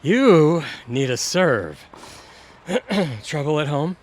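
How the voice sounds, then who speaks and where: Man playing a lively, blunt womanizing buddy. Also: on the high side, extremely slow, full character voice. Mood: jokey. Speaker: actor in an audio drama. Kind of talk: comedic audio drama acting.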